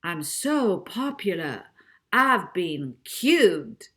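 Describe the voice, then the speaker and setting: Woman putting on a drawn-out, catchy TV advert voice. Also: fairly low pitch, big pitch swings, bright, forceful tone, full character voice. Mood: smug, sing-song. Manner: sing-song, drawn-out, persuasive.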